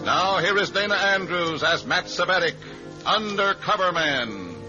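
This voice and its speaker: High-pitched radio announcer man over music. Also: animated, a little slow. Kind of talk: dramatic 1950s radio announcing. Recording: muffled 1950s radio recording with music.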